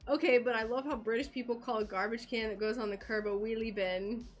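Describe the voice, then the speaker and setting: A woman's voice: fairly high pitch, steady tone, fairly quick, soft background music. An American woman streaming her craft work, chatting casually and giggling, with a slightly worn voice. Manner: chatty, giggly, rambling with fillers. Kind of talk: casual chat while working.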